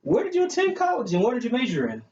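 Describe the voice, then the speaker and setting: A man's voice: very high-pitched, huge pitch swings, racing, heavily acted. A man playing a gushing, chatty talk show host in a staged amateur show. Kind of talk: hosting a staged student-made talk show. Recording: echoey, muffled room recording with hiss.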